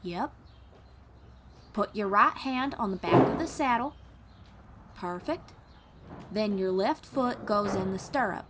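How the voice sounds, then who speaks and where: A woman's voice: medium pitch, lively intonation, slow pace, long pauses, noisy background. A woman playing a kind, patient young bard in an audio roleplay, teaching the listener in an encouraging, folksy way. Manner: encouraging, gently instructive, folksy.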